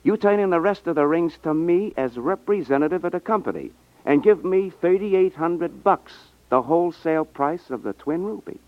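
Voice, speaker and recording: A man's voice: a little high, animated, moderate pace, natural voice. A man acting in a 1950s radio drama as a brash, pushy, slangy salesman feigning honesty. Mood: excited scheming. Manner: slangy, insistent. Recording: muffled 1950s radio recording.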